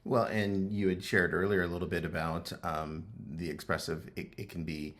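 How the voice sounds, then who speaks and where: A middle-aged man co-hosting a podcast, speaking in a halting way with many pauses and restarts. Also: low voice, little pitch movement, a bit bright. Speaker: podcast co-host. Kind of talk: conversational couples podcast.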